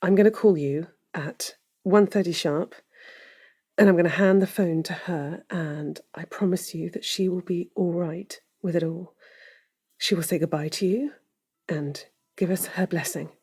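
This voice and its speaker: An actress playing an earnest, lovelorn woman in an audio drama, with a breathy voice and intimate delivery. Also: lowish voice, unhurried, darkish tone. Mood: earnest, resolute. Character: earnest, lovelorn woman pleading for her love. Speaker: actor.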